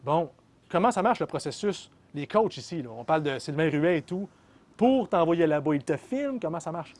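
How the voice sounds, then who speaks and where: A man's voice: a little high, expressive, normal speed, darkish tone. Chatty Québécois man hosting. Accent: Québécois French. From Quebec. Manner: chatty, finishes the guest's sentences. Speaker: podcast host. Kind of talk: casual interview chat.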